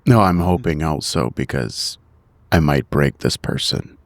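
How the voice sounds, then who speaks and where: Gruff tough-guy agent, voiced by a man at the game table: bottom-register, gravelly, terse, understated menace. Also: very deep voice, lively intonation, unhurried, no echo, heavily acted. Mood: grim, ready. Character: gruff, physically threatening male investigator.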